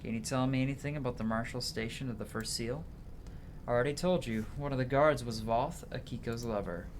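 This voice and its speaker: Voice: mid-range voice, brisk, a little hiss or hum. A streamer reads game dialogue aloud in a dramatic way, stumbling now and then and correcting mid-word.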